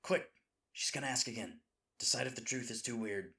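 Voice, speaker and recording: A man's voice: mid-range voice, fairly quick, creaky, pushed and bright. A man playing a devoted, goofy boyfriend nervous about proposing, rambling and chiding himself earnestly in a scripted romantic audio roleplay. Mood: playful bluffing.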